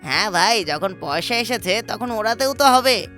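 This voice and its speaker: A man's voice: sits high, animated, moderate pace, bright, forceful tone, soft background music. A man voicing a cocky, greedy young thief in a cartoon, pushy and confident. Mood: eager, cocky. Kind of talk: cartoon voice acting.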